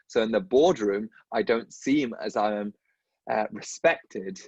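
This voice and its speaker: Man, podcast guest, husky voice, stuttered word repeats, dull dry lo-fi audio. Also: on the low side, unhurried, darkish tone, dry recording. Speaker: guest, dentist. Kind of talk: casual conversation.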